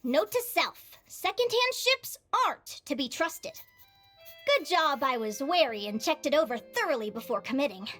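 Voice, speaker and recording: A woman's voice: high-pitched, sing-song, normal speed, fairly bright tone, faint music behind. A woman voicing an eager, dreamy young female adventurer in a video game, bubbly and wide-eyed as she talks to herself. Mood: wary, matter-of-fact. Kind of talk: video game voice acting, monologue.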